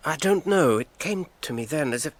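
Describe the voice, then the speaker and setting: An actor with an English accent playing a thoughtful, wistful hobbit in a radio drama, reflective and measured. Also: male voice, on the high side, lively intonation. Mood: musing.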